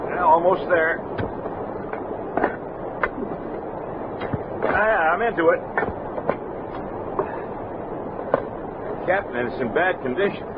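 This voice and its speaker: A man acts as an earnest, folksy soldier on a frontier expedition, in 1960s radio drama style. His voice sits fairly high and he speaks respectfully. The old recording is narrow-band, with clear background noise. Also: animated, fairly quick, natural voice. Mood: effortful, concerned. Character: earnest, obedient army enlisted man on expedition.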